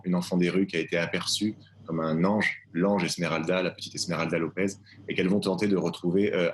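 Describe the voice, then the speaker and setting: A man presenting his stage adaptation live, speaking in measured, literary phrasing with frequent fillers. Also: low voice, a little hiss or hum. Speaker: theatre director presenting his play. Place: live video call.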